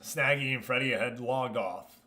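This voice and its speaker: A man with a sharp, bright voice at an average male pitch hosts a game livestream. He comments on play in a casual, informal way and talks directly to the people watching. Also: unhurried. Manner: informal, chatting to viewers. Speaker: livestream host.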